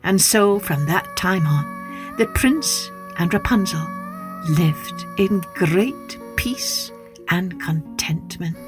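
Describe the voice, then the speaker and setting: A woman narrating a scripted children's story as a podcast host, with expressive, deliberate delivery. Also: on the low side, over music.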